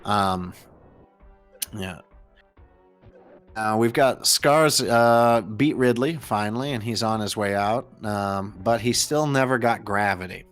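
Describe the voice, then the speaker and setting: A man's voice: lowish voice, slow, a bit bright, faint music behind. An American man commentating and interviewing on a live gaming race in a casual, chatty, jokey way. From United States. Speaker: host and commentator.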